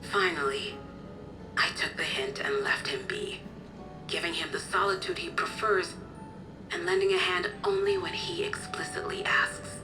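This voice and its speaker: An actress playing a kindly, motherly guardian in a video game cutscene, explaining things in a gentle storytelling way. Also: fairly low pitch, animated, a little slow, over music, low-quality recording. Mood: earnest, explaining. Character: kindly motherly woman, caring guardian of an orphaned boy.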